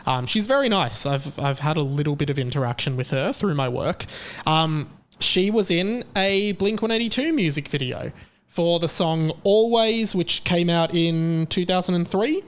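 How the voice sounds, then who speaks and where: A man's voice: fairly high pitch, lively intonation, normal speed. A young Australian man hosting his solo podcast in a chatty, rambling way, with fillers. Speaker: podcast host. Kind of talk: casual solo podcast monologue.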